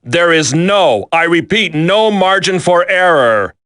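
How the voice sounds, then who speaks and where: A man's voice: sits high, lively intonation, slow pace, pushed and bright, smooth, no room sound, studio quality, exaggerated voice. A man playing a no-nonsense special forces team leader in a video game, authoritative and emphatic. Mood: stern. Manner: authoritative, clipped, emphatic briefing.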